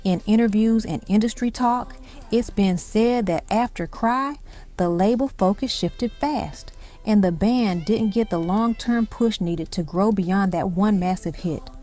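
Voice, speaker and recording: A woman's voice: medium pitch, measured pace, dark tone. A woman reading scripted documentary-style narration for a music countdown, in dramatic, punchy short phrases. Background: music behind the voice.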